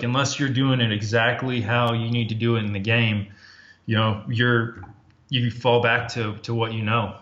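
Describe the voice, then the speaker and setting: A man talking as a podcast guest, reflective and casual, often pausing with fillers and restarting his sentences. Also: medium pitch, fairly bright tone. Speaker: podcast guest, former Army Ranger officer. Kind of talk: casual interview talk. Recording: dull lo-fi audio.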